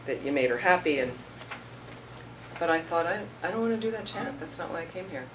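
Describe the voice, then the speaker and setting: Middle-aged woman doing a chatty dharma talk, low voice, mic kinda far off, faint room hiss. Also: lively intonation, a little fast. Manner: conversational, storytelling with acted-out quotes. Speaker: Buddhist teacher.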